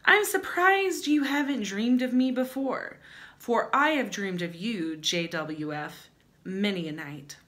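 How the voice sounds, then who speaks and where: A young woman with a medium-pitched voice hosts a comic reading in a small room at home. She leans on words theatrically, then drops into wry, playful, chatty commentary. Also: slow, fairly bright tone. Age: young adult. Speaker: host. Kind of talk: comedic dramatic reading with chatty asides.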